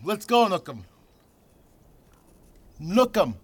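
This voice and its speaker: A man's voice: high voice, sing-song, slow pace, a bit bright, slightly rough, full character voice. A man playing a sarcastic young farmer. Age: young adult. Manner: sarcastic, biting retorts.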